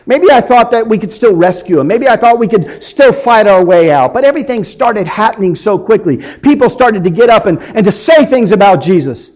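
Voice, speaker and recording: A man performing a guilt-ridden disciple confessing his betrayal in a halting, emotional dramatic biblical monologue. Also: sits high, lively intonation, highs cut off. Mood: tense, bewildered. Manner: halting, emotional, swinging from hushed to shouting.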